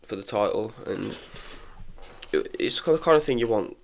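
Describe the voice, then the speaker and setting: Young man, bunged up with a cold, gravelly low voice, casual football chat, blunt opinions. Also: lively intonation, moderate pace, a little hiss or hum, rough recording. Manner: chatty, frank opinions with frequent restarts. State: congested from illness. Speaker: football podcaster. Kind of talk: casual solo football review.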